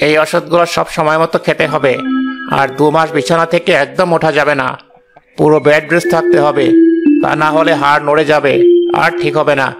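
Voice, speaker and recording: A blunt village doctor with a slightly high male voice, played by a man. He speaks in an admonishing way, in animated drama style, with music clearly behind him. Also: fairly quick. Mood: firm. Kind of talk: animated horror drama acting.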